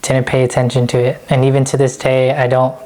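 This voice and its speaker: A man's voice: medium pitch, completely flat delivery, fairly quick, mellow. A young man from San Jose, California, speaking in a laid-back, hesitant way with many fillers. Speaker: first-time voter interviewee.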